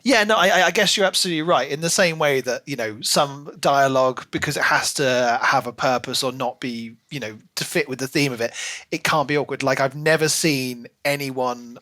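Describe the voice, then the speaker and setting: A man's voice: medium pitch, normal speed, bright, forceful tone. A man co-hosting a podcast, discussing books casually and animatedly, acting out imagined remarks as he goes. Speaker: podcast co-host. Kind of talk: casual book discussion chat.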